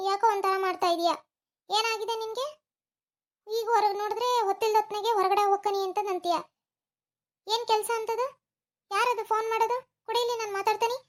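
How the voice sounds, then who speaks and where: A woman voicing a worried middle-aged mother who nags and pleads with her grown son, in a home-made cartoon. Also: very high-pitched. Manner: nagging, pleading, lamenting.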